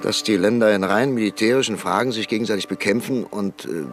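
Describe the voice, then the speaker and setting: An actor playing an old, cautious parliamentarian professor in a radio drama, formal and hesitant with frequent pauses. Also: male voice, fairly low pitch, faint music behind, lightly acted. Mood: earnest. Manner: formal, hesitant with ähs, pleading. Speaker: parliamentary deputy.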